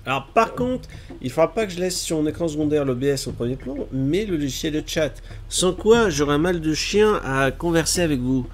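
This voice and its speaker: A man's voice: fairly high pitch, expressive, brisk, a little hiss or hum. A man in his thirties with a cold, chatting casually to his live-stream viewers at home while fixing tech problems and gaming. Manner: chatty, self-mocking, fussing over tech trouble. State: stuffy with a cold. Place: small room at home, gaming chair.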